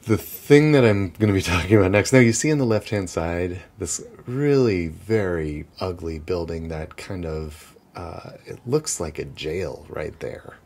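A man's voice: sits low, a little slow, hardly any pauses, darkish tone. A young man guiding a walking tour outdoors on city streets, chatty and casual, often laughing between points. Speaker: tour guide.

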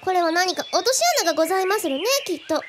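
A woman's voice: very high-pitched, very fast. A woman playing a polite, curious Spanish girl genius who speaks in quaint, over-formal archaic phrases in a radio drama. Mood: intrigued. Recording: clear background noise with birdsong.

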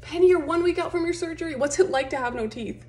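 A woman in her thirties chatting playfully and gently with her pets, asking indulgent questions in a home comedy skit. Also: sits high, quick pace, noisy background. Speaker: pet owner, video creator. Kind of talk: playful chat with pets in short comedy skits.

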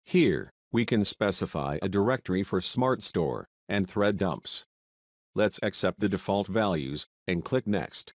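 A man with a fairly low voice narrates a software tutorial. His delivery is scripted, measured and instructional, moving step by step. The sound is close and dry, like a studio voice-over. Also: animated, dry recording.

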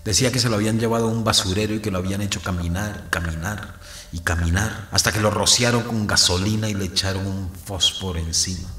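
A man's voice: fairly low pitch, steady tone, fast, bright, forceful tone, echoey room. An actor playing a guilt-ridden priest narrating his past in an audio drama. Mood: haunted, brooding. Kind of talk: scripted first-person dramatic narration.

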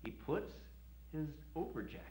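A man's voice: fairly high pitch, expressive, moderate pace, darkish tone, slightly rough, rough recording. An actor in his forties playing a creepy, gushing children's TV storytime host, talking to children with exaggerated sing-song enthusiasm. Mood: storytelling. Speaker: children's show presenter. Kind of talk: 1990s children's TV presenting.

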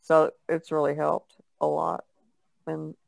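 A woman's voice: deep voice, sing-song, takes it slowly, vocal fry, no echo. A woman talking casually as an artist on a podcast. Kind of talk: casual chat.

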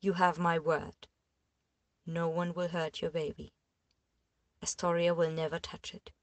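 A woman voicing a guarded young man who speaks firmly and in measured phrases. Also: lowish voice, little pitch movement, no room sound, natural voice. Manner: firm, measured, quietly commanding. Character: cold, guarded young man, firm and controlled.

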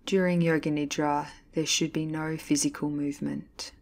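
Female meditation instructor, low register, level intonation, calm scripted delivery with deliberate pauses. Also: vocal fry. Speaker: yoga nidra instructor. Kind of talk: guided meditation, scripted narration.

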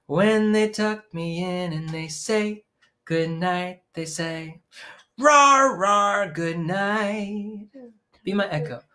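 A man in his thirties leading young children in songs with hand signs, gentle and encouraging, recorded on a webcam in a small room at home. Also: high-pitched. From Maine, US. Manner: gentle, encouraging, slow sing-along. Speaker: children's music teacher. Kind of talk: singing children's songs with sign language. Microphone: webcam at desk distance.

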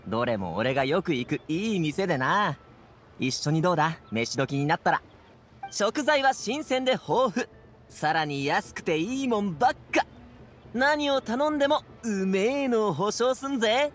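高めの声の快活な若者. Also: male voice, sits high, expressive, normal speed, slight background noise. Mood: eager, cheerful. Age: young adult. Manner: casual, breezy, boyish slang. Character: upbeat, friendly young man, transport guard. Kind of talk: Japanese anime game voice acting.

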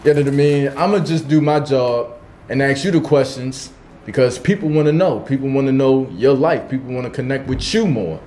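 A man's voice: mid-range voice, fairly quick, mellow, a little hiss or hum. Easygoing interviewer man. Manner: easygoing, leading questions with slang. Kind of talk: casual interview questions.

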